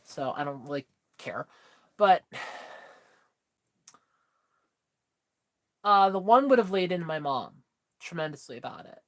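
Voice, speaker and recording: A woman's voice: lowish voice, fairly bright tone. A woman in her thirties chatting candidly with viewers on a livestream, telling a personal story in a rambling way full of fillers. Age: 30s. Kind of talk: casual chat with viewers.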